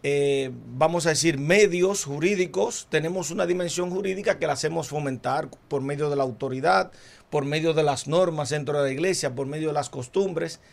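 A male priest calmly explaining church law as a guest on a talk show, step by step. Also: on the high side, vocal fry.

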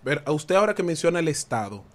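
Brief: male host, slightly high voice, playful and joking. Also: sing-song, fast. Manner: joking, laughs often. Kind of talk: casual talk show chat.

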